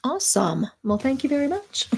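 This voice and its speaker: Lady doing a sound healing livestream, slow soft talk, reads comments, little laughs. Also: high voice, slight background noise. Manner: slow, soothing, reflective, with soft laughs and restarts. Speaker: spiritual sound healer hosting a livestream. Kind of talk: livestream chat reading viewer comments.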